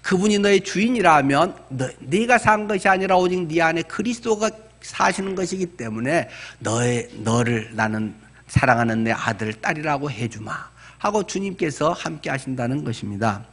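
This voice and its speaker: Higher-voiced man of about sixty preaching. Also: animated, normal speed, fairly bright tone. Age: around 60. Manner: conversational, explanatory asides with rhetorical questions. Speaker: pastor. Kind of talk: sermon.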